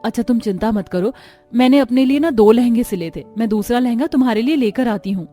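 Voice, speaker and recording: A woman's voice: on the high side, brisk, warm tone, soft background music, lightly acted. A woman voicing a kind, cheerful young bride with a reassuring, eager manner in a cartoon story. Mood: concerned. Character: kind, cheerful young bride, helpful elder sister-in-law. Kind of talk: Hindi cartoon story dialogue.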